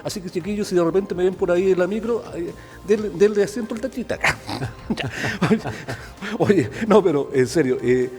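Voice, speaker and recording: Chilean TV host, sixties, playful and animated, with some faint music behind. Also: male voice, sits high, normal speed. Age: 60s. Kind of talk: casual studio chat.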